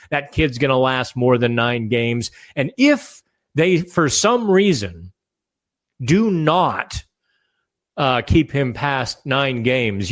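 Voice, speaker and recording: A man hosting a casual solo podcast from a hotel room, slightly raspy and rambling, with fillers and restarts. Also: medium pitch. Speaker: podcast host.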